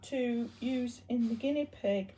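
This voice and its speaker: A woman presenting a hobby project in an informal, unscripted demonstration, explaining things in a hesitant, halting way. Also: a little high, noisy background.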